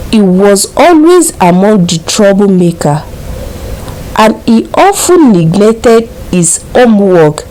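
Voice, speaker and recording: Slow, mellow Nigerian woman storyteller. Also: lowish voice, slight background noise, low-quality recording. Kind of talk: scripted folktale narration.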